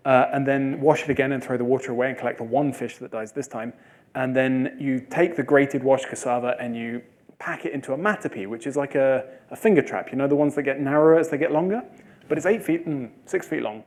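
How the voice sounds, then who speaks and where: A man answering audience questions after a talk, telling lively stories and acting out the people he quotes in a jokey way. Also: mid-range voice, a little fast. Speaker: speaker at a talk. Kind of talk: animated Q&A storytelling. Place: lecture hall with audience.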